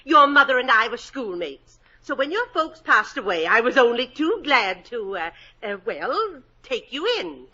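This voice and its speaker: A bossy middle-aged guardian in a vintage radio play, a bright, high voice that swings up and down as she barks out stern refusals and stumbles over her excuses. The broadcast sound is dull and lo-fi. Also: very animated, a little slow, exaggerated voice. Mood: flustered. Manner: emphatic refusals, comic stammering. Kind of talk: 1940s radio acting.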